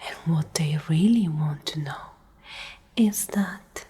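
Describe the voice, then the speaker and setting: A woman's voice: deep voice, warm tone, heavily acted. Woman acting a whispering, menacing female Alpha leader. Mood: cold lecturing. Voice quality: breathy, whispery, husky. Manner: slow, condescending, coldly threatening. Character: menacing, domineering female Alpha leader of apocalyptic survivor group. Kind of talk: soft-spoken whispered ASMR roleplay.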